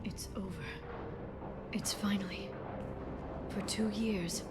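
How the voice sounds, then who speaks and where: An actress playing an earnest, soft-spoken, determined young alien woman in a video game, with halting, emotional delivery. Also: medium pitch, steady tone, very noisy, lightly acted. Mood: grieving, relieved. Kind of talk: video game cutscene acting. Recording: loud music behind the voice.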